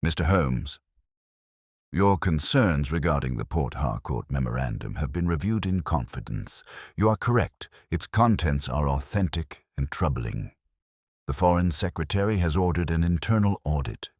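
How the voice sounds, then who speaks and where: A man's voice: extremely low pitch, animated, moderate pace, a little mellow, dry recording, studio quality, exaggerated voice. A man voicing a discreet Victorian government official through a letter in an audiobook, in a stiffly formal, guarded manner. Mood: grave, official.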